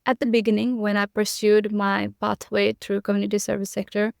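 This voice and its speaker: A woman's voice: mid-range voice, level tone, brisk, gravelly, darkish tone, no room sound, studio quality. A young woman from Nepal, a podcast guest, giving earnest, repetitive conversational answers with frequent fillers.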